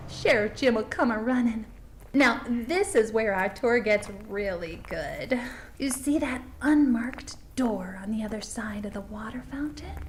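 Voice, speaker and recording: A woman's voice: on the high side, lively intonation, measured pace, a little hiss or hum. A woman playing a chirpy, confiding small-town tour guide narrating a comic mockumentary. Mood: cheerful. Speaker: documentary presenter.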